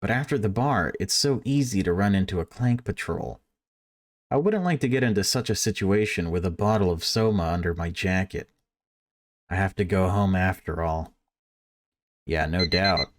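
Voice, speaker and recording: A man's voice: deep voice, normal speed. A man doing a let's play, reading a visual novel aloud and adding casual, sarcastic asides. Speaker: video game streamer.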